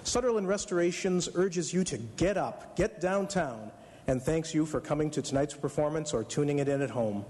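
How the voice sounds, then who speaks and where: A man's voice: fairly high pitch, lively intonation, moderate pace, a little mellow. A man delivering a scripted promotional message as a sponsor announcer on radio. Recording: echoey hall live broadcast.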